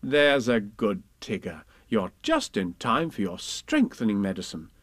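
A man's voice: on the low side, sing-song, measured pace, a bit bright, dry recording, full character voice. Man putting on a motherly, no-nonsense storybook kangaroo. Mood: coaxing. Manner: coaxing, no-nonsense, maternal. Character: motherly, firm, kindly female storybook kangaroo.

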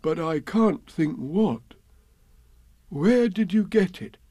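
A man voicing a simple, humble bear in a children's storybook, with a plaintive, gentle manner. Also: sits high, animated, dry recording, studio quality, pitched above the performer's own voice, exaggerated voice. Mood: puzzled. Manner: plaintive, gentle, slightly slow-witted.

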